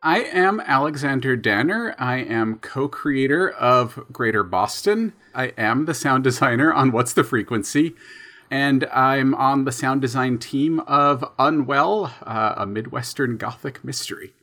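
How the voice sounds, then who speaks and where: A man with a bright, forward voice at an ordinary pitch, answering as an interview guest. He keeps his answers brief and matter-of-fact. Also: animated, unhurried. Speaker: podcast co-creator, sound designer.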